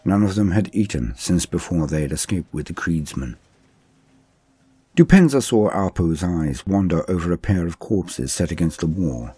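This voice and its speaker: A man's voice: low voice, warm tone, slightly rough, dry recording. A man giving a solemn, theatrical dramatic reading of a science fiction war story as its narrator.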